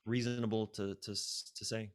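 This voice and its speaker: A man with a lowish voice talks as a coach being interviewed on a podcast. He explains his ideas in a reflective, halting way and leans on key words by repeating them. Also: flat delivery. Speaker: podcast guest, life and relationship coach.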